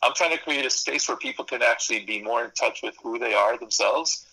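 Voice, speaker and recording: Man, first language Spanish, professor, sharp bright tone, husky, thoughtful, radio interview. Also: medium pitch, brisk, poor audio. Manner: thoughtful, explanatory, piles up lists and restarts. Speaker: psychology professor and consultant. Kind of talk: interview answers.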